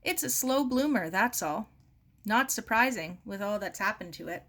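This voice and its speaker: A woman's voice: mid-range voice, animated, normal speed, a bit bright. A woman voicing a wistful, reassuring young girl in a storybook, earnest and plain-spoken. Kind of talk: storybook character dialogue.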